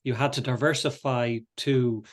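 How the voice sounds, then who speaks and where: A man's voice: mid-range voice, steady tone, moderate pace. A man hosting a podcast interview in a conversational way, with wordy, wandering questions and comments.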